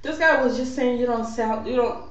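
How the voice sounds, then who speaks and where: A young woman from West Africa ranting casually to camera as a vlogger, sarcastic and animated, with many filler "likes". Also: a little high, moderate pace. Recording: very echoey, distant, dull lo-fi sound.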